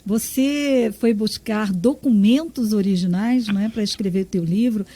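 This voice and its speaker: Mellow-voiced woman interviewer. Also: medium pitch, a little slow. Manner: warm, admiring questions, tag questions. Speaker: radio interviewer. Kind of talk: radio interview.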